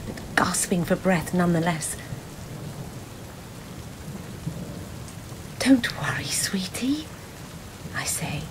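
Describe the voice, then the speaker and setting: A woman playing a weary, wry, anxious young boy's mother in an intimate audio-play monologue, confiding and at times dropping into breathy hushed tones. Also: fairly low pitch, background noise. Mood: haunted, hushed.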